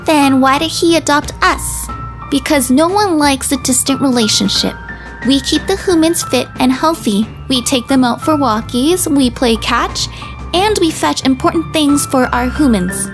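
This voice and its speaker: A woman's voice: sits high, measured pace, over music. A woman voicing a wary, protective rescue dog in a visual novel, with a blunt, world-weary manner. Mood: indignant. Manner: blunt, defensive. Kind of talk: character acting in a visual novel.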